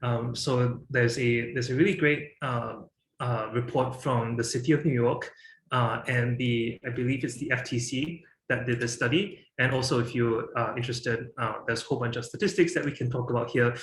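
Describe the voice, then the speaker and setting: A man's voice: mid-range voice, steady tone, normal speed, a bit bright, roomy sound, slight background noise, low-quality recording. A man from Singapore in his thirties, giving a presentation and talking with many fillers and restarts. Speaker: guest speaker.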